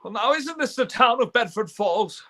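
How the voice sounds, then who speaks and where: A man's voice: very high voice, animated, normal speed, fairly bright tone. A man playing an emotional, stammering family man in a live radio play, speaking with breathless urgency. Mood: urgent, pleading. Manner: stammering, impassioned, breathless pleading. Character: frantic, despairing then overjoyed family man.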